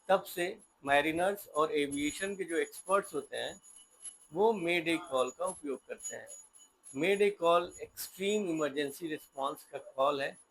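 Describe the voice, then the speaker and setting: A man's voice: a little high, a bit bright, a little hiss or hum, rough recording. An Indian man giving an informal explainer talk, mixing English terms into his explanatory speech. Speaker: presenter explaining a term.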